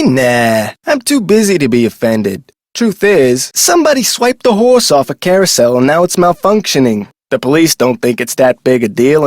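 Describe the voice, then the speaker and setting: Video game park guard, adult male: eager, folksy talker, slightly high-pitched, very lively inflection. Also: no echo, studio quality. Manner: folksy, colloquial, animated delivery. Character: chatty, eager amusement park security guard, adult man. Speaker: security guard character. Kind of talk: scripted video game character dialogue.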